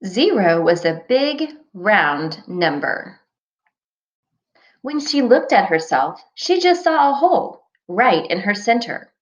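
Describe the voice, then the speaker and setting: A woman reading a children's storybook aloud like a teacher, with deliberate, expressive phrasing for young listeners, on a dull recording. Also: mid-range voice. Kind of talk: scripted storybook read-aloud.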